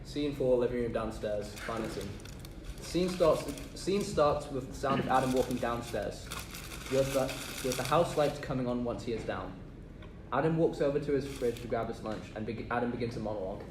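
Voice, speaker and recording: A man's voice: mid-range voice, moderate pace. A man reading stage directions aloud from a script. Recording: echoey, distant room with noise.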